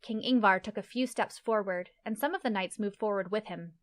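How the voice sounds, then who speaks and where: A woman's voice: medium pitch, fairly quick. A woman narrates a scripted fantasy tale. Her voice sits in a middle range. She reads as the storyteller of an audio play.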